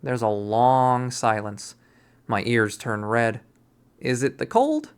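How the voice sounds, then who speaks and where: A man narrating a first-person visual novel readthrough in an expressive, theatrical way. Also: medium pitch, takes it slowly, smooth.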